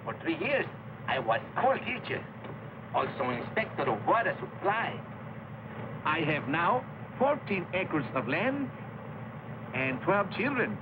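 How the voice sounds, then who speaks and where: An actor playing a genial, self-important Mexican villager with an accent, mixing broken English and Spanish in an old-time radio drama. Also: male voice, fairly high pitch, very animated, unhurried, pauses often. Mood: eager, boastful. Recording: noisy, dull old radio recording.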